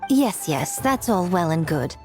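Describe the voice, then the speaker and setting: A woman's voice: lowish voice, big pitch swings, measured pace. A woman playing a sly, self-assured shrine priestess in a video game, speaking in a teasing, poised way. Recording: faint music behind.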